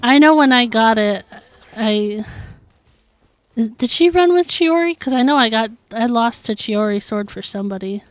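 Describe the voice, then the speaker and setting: A woman's voice: fairly high pitch, expressive, normal speed, dry recording, soft background music. A woman livestreaming a video game, chatting casually and thinking aloud as she answers viewers. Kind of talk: casual chat while playing a video game.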